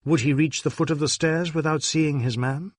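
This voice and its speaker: Guy narrating a detective audiobook, slightly high voice, really dry sound. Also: steady tone, normal speed, dry recording. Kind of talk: dramatic scripted narration of a detective novel.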